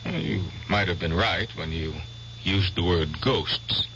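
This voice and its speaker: A patient, probing doctor in an old radio drama. The voice is very low yet bright and firm, with deliberate, grave phrasing. The recording is dull, with a faint hum. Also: male voice, full character voice. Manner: deliberate, hesitant pauses, soothing reasoning. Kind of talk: 1970s radio drama acting.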